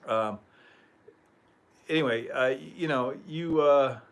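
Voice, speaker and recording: A man hosting a casual livestream chat, rambling and chuckling, clearing his throat as he loses his voice. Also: mid-range voice, slow. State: losing his voice. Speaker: livestream host.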